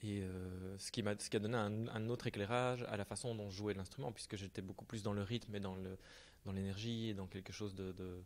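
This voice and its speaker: A man, a hospital musician and coordinator, introducing himself and his work at a panel in a halting way, often searching for words. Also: lowish voice, fairly even intonation.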